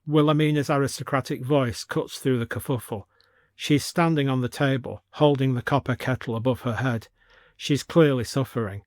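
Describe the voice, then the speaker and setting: A man's voice: medium pitch, darkish tone, no echo. Male storyteller, dry close sound. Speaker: narrator. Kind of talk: scripted story narration.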